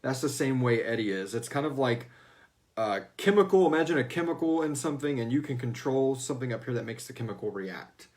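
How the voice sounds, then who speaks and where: A man's voice: mid-range voice, lively intonation, a little fast. An American man chatting casually and jokingly as host of a livestreamed fan Q&A. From Houston, United States. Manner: joking, informal, breaks into playful voices. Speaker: host of a fiction series fan Q&A, its writer.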